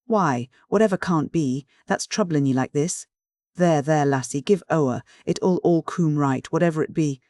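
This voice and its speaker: A man voicing a rough, earnest shepherd with a husky Northern English dialect, blunt and stubborn. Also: on the high side, normal speed, darkish tone, no echo, clean studio sound, lightly acted. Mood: gentle. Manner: blunt, pleading, dialect-heavy.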